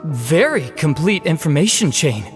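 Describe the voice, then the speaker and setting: Courteous, lively, higher-voiced envoy. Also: male voice, moderate pace, in a large hall, over music. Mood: courteous, diplomatic. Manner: courteous, formal. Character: polite, smooth-talking male envoy of a foreign organization. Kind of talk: video game voice acting.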